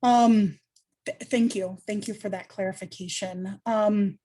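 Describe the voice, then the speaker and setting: A woman's voice: medium pitch, fairly even intonation, a little slow, mellow. A woman on a panel, answering a question in a thoughtful, earnest way with frequent ums and restarts.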